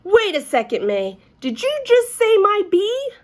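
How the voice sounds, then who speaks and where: A woman voicing an excitable, earnest little girl telling her own story, with childlike, emphatic phrasing as in an animated storybook reading. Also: extremely high pitch, measured pace, voice pushed up, full character voice. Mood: astonished.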